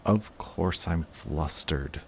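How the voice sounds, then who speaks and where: A man playing a guarded villain in love with a rival, in an intimate audio roleplay with halting, emotional delivery. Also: extremely low pitch, creaky, slight background noise, full character voice. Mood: flustered. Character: lovestruck male villain, guarded, flustered, confessing love to rival.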